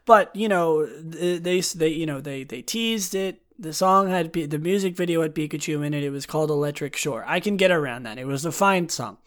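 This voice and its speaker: A man with a raspy, worn-out throat hosting a casual solo episode. He rambles with frequent restarts and self-deprecating asides, and sometimes reads a written write-up aloud. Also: a little high, a little fast, few pauses, fairly bright tone. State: hoarse, raspy throat from talking a lot. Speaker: podcast host.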